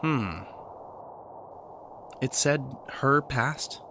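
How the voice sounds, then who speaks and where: An actor playing an earnest, bookish, kind young man in an audio drama, with a gentle, lightly teasing manner. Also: mid-range voice, lively intonation, extremely slow, a little hiss or hum, full character voice. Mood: thoughtful, teasing. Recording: music bed under the voice.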